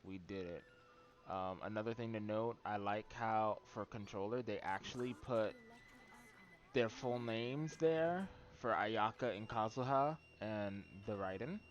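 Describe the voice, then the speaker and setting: A man giving casual, rambling livestream commentary on a game in a halting, loose way. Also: fairly low pitch. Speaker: game streamer. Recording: muffled mic with background noise.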